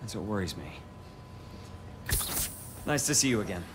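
An actor playing a middle-aged male doctor in a video game, courteous and grave, with a formal, measured delivery. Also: on the low side, lively intonation, background noise, lightly acted. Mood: concerned. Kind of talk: game cutscene acting.

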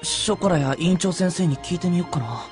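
An actor playing a brash, boyish young dog-like hunter in a Japanese radio drama, with casual, rough speech. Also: mid-range voice, animated, a little fast, darkish tone, faint music behind, natural voice. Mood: musing, hesitant.

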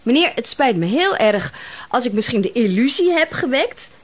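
Radio drama role: female private detective, about thirty, chatty, easily flustered, very high voice, lively swings. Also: normal speed. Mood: alarmed. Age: young adult. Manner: chatty, apologetic, exclaiming. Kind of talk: Dutch radio drama acting.

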